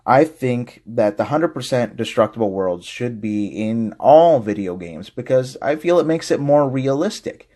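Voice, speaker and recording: A man in a mid-pitched, everyday voice walks through a game trailer and builds to enthusiastic opinion. He ends by directly asking viewers to share their thoughts. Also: a little mellow. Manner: enthusiastic, asks viewers for comments. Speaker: gaming news correspondent. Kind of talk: gaming news segment.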